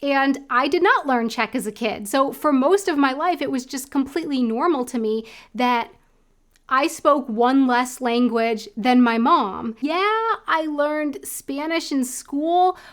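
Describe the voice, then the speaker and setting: American woman in her 30s vlogging at home, high voice, chatty, lots of likes and i don't knows. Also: unhurried. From United States. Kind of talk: casual reflective vlog talk. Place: indoor room at home.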